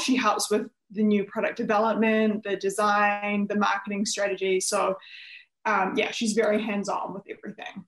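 Female interview guest, young adult, New Zealand accent, conversational, mid-pitched, noticeably roomy sound. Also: a bit bright. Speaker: interview guest, nutritionist and company founder.

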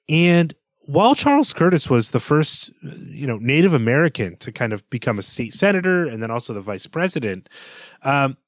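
A man's voice: medium pitch, no echo, clean studio sound. A man co-hosting a podcast, discussing books conversationally in a bookish, analytical way. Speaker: podcast co-host.

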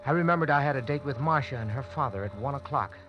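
A man's voice: medium pitch, animated, fast talker, natural voice. A man playing a panicked young lawyer narrating. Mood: anxious. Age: young adult. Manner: tense, anxious first-person narration. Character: panicked young lawyer who wakes beside a corpse. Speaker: narrator and lead. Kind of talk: 1940s radio acting. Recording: muffled old radio broadcast with music.

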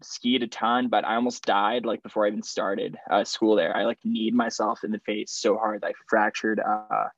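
A man's voice: fairly low pitch, normal speed, few pauses, dry recording, highs cut off. A young man from Switzerland whose first language is French, talking casually and rambling as a podcast guest, with lots of fillers. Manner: relaxed, rambling with many fillers. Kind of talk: casual conversation.

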